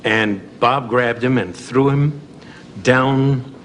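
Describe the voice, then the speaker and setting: A man's voice: medium pitch, slow pace, fairly bright tone, a little hiss or hum. A man telling casual anecdotes as a guest on a talk show.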